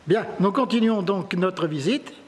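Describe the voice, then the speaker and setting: A man's voice: high voice, measured pace. A man working as an interviewer, presenting an exhibition on site and putting questions to the artists. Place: indoors in an exhibition room at a tourist office.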